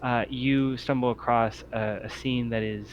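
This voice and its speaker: A man's voice: medium pitch, steady tone, unhurried. A man narrating a tabletop roleplaying game as game master, feeling his way through phrases with frequent ums. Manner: hesitant, piecemeal phrasing with many ums. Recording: muffled lo-fi audio with background noise.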